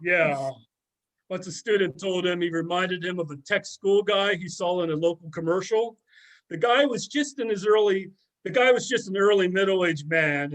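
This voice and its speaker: Sardonic middle-aged ex-student, played by a man, high male voice, gossipy and mocking, amateur readers theater. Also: close to an everyday voice, low-quality recording. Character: sardonic middle-aged former student dishing on an old teacher. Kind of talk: amateur readers theater, conversational script reading.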